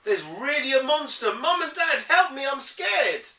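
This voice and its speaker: A man's voice: big pitch swings, measured pace, bright, forceful tone, full character voice. A man voicing a mischievous, dramatic little boy in a children's storybook, with exaggerated cries. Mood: alarmed yelling. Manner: exaggerated, shrill cries for help. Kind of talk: animated children's storybook reading. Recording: echoey room, distant from the microphone.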